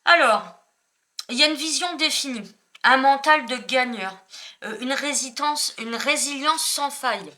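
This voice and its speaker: Brief: French woman, casual card reading, bright higher voice, rambling with fillers. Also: measured pace. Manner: rambling, self-correcting, many fillers. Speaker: spiritual tarot reader.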